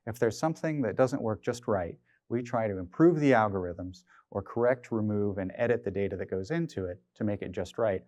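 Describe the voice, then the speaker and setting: A man's voice: lowish voice, brisk, hardly any pauses, a little mellow, dry recording. A man in his fifties giving a prepared technical presentation as a research scientist. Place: indoor studio stage. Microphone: clip-on lapel mic.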